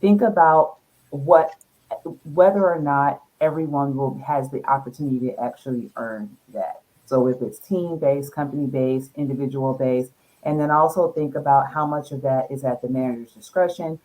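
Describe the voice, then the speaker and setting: A woman's voice: very deep voice, moderate pace, a little mellow, poor audio. A middle-aged woman, an HR professional, giving practical advice on a panel in a direct, conversational way.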